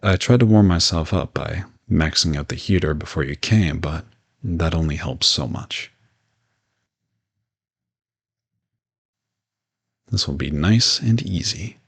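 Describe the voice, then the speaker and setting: A man playing a gentle, courteous old vampire in an intimate audio roleplay, reassuring and lightly teasing as he speaks to the listener. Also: extremely low pitch, lively intonation, moderate pace, gravelly, husky, full character voice. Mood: gentle, soothing. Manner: soft-spoken reassurance, politely formal with teasing asides.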